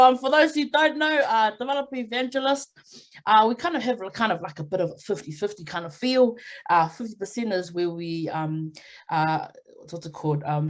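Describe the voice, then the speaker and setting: A young woman from New Zealand, a guest on a video call interview, talking about her developer job in a bubbly way and laughing often. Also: on the low side. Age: young adult.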